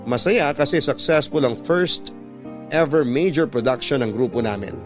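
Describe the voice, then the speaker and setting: A man's voice: medium pitch, normal speed. A man with a Filipino accent reading a first-person story as a radio narrator, in a dramatic scripted style. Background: background music.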